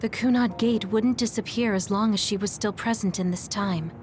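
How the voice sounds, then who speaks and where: A woman's voice: medium pitch, moderate pace, soft background music, natural voice. A woman playing a composed, analytical young soldier in a video game, controlled and matter-of-fact. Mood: subdued.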